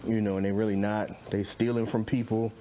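A man's voice: lowish voice, little pitch movement, brisk, mellow, a little hiss or hum. A man in his late thirties chatting casually as a chef being interviewed. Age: late 30s, nearly 40. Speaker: celebrity chef and caterer, guest.

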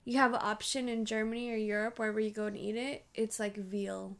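A woman's voice: medium pitch, flat delivery, fairly quick, gravelly. A woman in her thirties with a Filipino accent, co-hosting a casual podcast in a blunt, playful, swearing way. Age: 30s. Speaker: podcast co-host.

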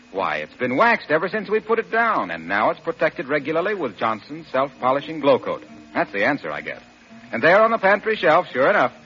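An American man announcing on 1940s radio, delivering a scripted sales pitch with folksy polish. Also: pushed and bright. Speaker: radio announcer and sponsor spokesman. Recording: dull vintage radio recording, music behind.

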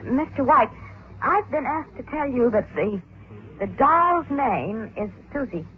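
An actress playing a guarded, calculating woman in an old radio mystery, hesitant yet deliberate in her delivery. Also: sits high, lively intonation. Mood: careful, calculating. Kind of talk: 1940s radio mystery acting. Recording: tinny, hissy old radio drama recording.

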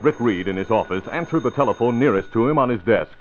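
A man narrating an old-time radio crime serial in a measured, dramatic style. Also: medium pitch, animated, natural voice. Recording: tinny, noisy vintage radio recording.

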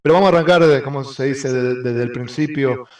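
A man's voice: medium pitch, fairly quick, darkish tone. A man hosting a casual interview, asking loose, hesitant questions with jokes. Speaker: host of the interview channel. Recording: voice distant from the mic.

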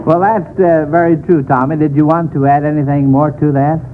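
An American man hosting a 1940s radio quiz show with formal, measured announcing. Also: a little high, steady tone. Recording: tinny, noisy old radio broadcast.

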